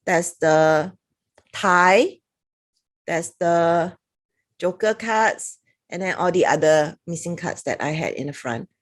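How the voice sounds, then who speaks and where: A woman's voice: lowish voice, slow pace, pauses often. A woman with a Singaporean accent giving a chatty, casual review to camera, reading passages aloud with little laughs. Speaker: product reviewer.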